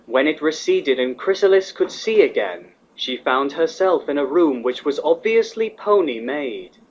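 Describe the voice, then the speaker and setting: A man narrates a written story in a fairly high male voice. The recording is a little dull and lo-fi, as if made at home. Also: animated, a little slow.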